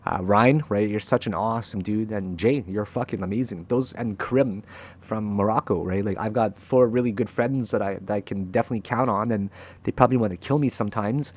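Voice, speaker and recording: A man's voice: on the low side, fairly quick. A young man, a crypto trader and channel host, giving a candid motivational talk to camera, frank and emotional, swearing freely and often tagging "right?".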